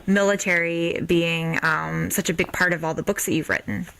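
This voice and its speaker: A woman's voice: on the low side, steady tone, normal speed, creaky, fairly bright tone, low-quality recording. An American woman hosting a casual interview, with informal, hesitant phrasing. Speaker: podcast host, interviewer.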